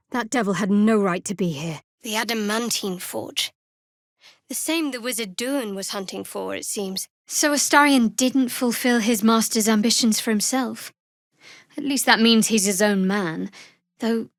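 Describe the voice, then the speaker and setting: A guarded young female cleric of a dark goddess, speaks in a fairly high voice. Her delivery is sardonic, clipped and wry, as video game dialogue, recorded close and free of room sound. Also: no echo.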